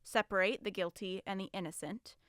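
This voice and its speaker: A woman's voice: mid-range voice, steady tone, brisk, fairly bright tone, clean tone, no echo, studio quality, lightly acted. A woman playing an earnest, guarded police interrogator in an audio drama, with a firm, businesslike and strained manner. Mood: firm, probing.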